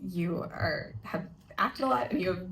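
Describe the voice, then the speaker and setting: Moderator, woman; gravelly voice, meandering questions, roomy sound. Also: lowish voice, expressive, measured pace, a bit bright. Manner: rambling, restarting questions. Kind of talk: asking panel questions. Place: theater stage at a premiere. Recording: echoey theater, faint hum.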